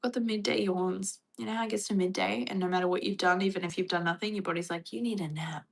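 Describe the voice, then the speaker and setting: A woman's voice: medium pitch, fairly even intonation, quick pace, creaky. An Australian woman chatting casually on a livestream, reading and answering viewer comments. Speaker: livestream host.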